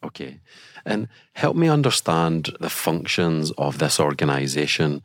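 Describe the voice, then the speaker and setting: A man hosting a podcast interview, asking measured, polite questions. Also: rumbling low, sing-song, no room sound, studio quality. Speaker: podcast host.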